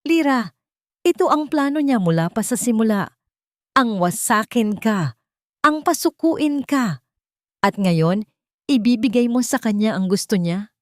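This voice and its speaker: A woman's voice: a little high, expressive, unhurried, pauses often, no echo, studio quality, pitched above the performer's own voice. Woman voicing an urgent, determined young man. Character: determined, protective young man, loyal friend.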